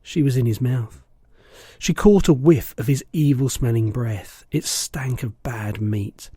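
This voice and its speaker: A man reading a children's storybook aloud in an expressive narrating style. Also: mid-range voice, unhurried, a little hiss or hum. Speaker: storybook reader.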